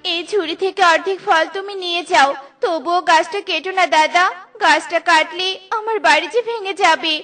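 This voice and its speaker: A woman voicing a gentle, naive, timid mother bird in a cartoon, earnest and pleading. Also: very high voice, normal speed, soft background music. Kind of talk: cartoon character dialogue.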